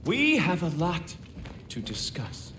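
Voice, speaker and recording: Slow, swooping, smug schemer. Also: male voice, a little high, wildly swooping pitch, a bit bright, slight background noise, heavily acted. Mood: smug, disdainful. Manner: condescending, deliberate, drawling. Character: smug, scornful servant of a god-king. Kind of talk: video game cutscene acting.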